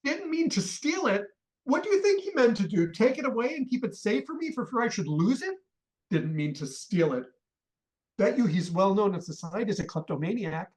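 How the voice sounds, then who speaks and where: Radio comedy: blustering, irascible rich father, middle-aged male actor, indignant ranting, very animated intonation. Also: sits high, moderate pace, poor audio. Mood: indignant, sarcastic. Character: irascible blustering wealthy father, obsessive collector. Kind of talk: comic radio play acting.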